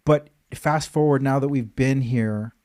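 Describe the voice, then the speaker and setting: A man in his thirties from Los Angeles hosts a podcast, his voice mellow and warm. He talks candidly and drops curse words without fuss. The delivery is easygoing chat. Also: medium pitch, a little slow. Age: 30s. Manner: candid, swears casually. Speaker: podcast host, financial advisor. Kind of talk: casual interview chat.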